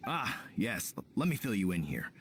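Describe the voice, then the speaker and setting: A man's voice: lowish voice, very animated, normal speed, a bit bright, slight background noise. A man voicing a friendly, grateful villager with a helpful, slightly hesitant manner in a video game. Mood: explanatory.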